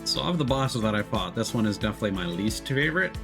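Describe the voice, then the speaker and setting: A man's voice: fairly low pitch, expressive, quick pace. A man streaming a video game, giving casual, off-the-cuff commentary. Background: synth game music.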